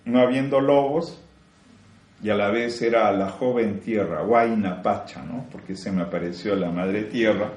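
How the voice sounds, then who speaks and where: Male spiritual teacher, low voice, echoey room, slow emphatic delivery, rhetorical questions. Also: darkish tone, slight background noise. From Peru. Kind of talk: spiritual teaching talk to a group.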